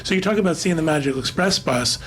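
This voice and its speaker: A man with a fairly high voice talks very quickly in casual, conversational style on a podcast panel. Slight background noise sits under his speech. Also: lively intonation. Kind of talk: casual chat.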